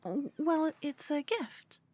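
A woman playing a cute, obsessed con-woman fangirl in a comedic ASMR roleplay, soft, often whispery, eager and cheekily salesy. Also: high-pitched, animated, slow pace, dry recording. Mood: hopeful, flirty. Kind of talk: ASMR roleplay, comedic one-sided dialogue.

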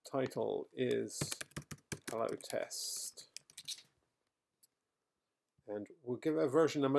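A middle-aged man recorded at home, talking through a software tutorial as he types, with fillers and quick self-corrections. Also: medium pitch, creaky. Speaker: software trainer. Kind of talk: screencast coding tutorial. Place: quiet home room.

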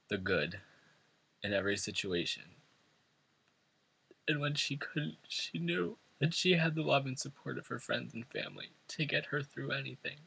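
A man's voice: on the low side, gravelly, pushed and bright, breathy edge, no echo, low-quality recording. A man from New York State reading a prepared eulogy at a funeral, earnest and emotional. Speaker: son giving a eulogy. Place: funeral service before family and friends.

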